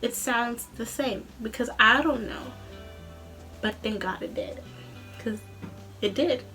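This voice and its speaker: A young woman telling a story in a casual, rambling vlog style, animated, with a slightly tired, tense voice. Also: fairly high pitch, slow pace, soft background music. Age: young adult. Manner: animated, rambling, acts out quoted dialogue. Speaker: student singer and vlogger.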